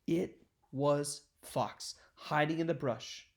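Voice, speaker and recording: A man reading a children's picture book aloud in an expressive, deliberate storytelling style. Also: on the high side.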